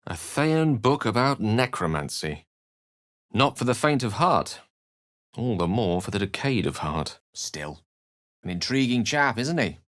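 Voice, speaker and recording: An actor playing an eloquent, wry wizard companion in a fantasy video game, with articulate, theatrical phrasing. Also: male voice, medium pitch, very animated, unhurried, a bit bright, no echo, clean studio sound. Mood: wry, thoughtful. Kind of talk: fantasy game dialogue and narration.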